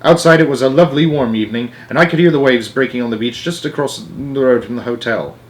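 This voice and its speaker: A man narrating a children's storybook aloud in an animated read-aloud style. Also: mid-range voice, fast.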